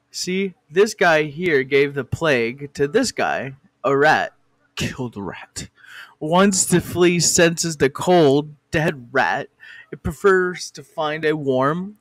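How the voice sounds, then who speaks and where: A man reads a mock-educational history in a slow, deliberate way, with his voice sitting a bit high. He often stops to swear or bicker with an unseen director. The recording is close and dry. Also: fairly bright tone, dry recording. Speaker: narrator. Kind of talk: comic fan parody narration.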